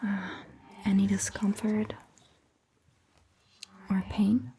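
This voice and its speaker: Woman whispering asmr as a gentle vampire doctor, super slow, crackly fry, close mic w faint noise. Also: medium pitch, level tone. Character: attentive, soothing female vampire doctor, centuries-old clan member. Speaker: ASMR roleplay host playing a doctor. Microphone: close ASMR microphone.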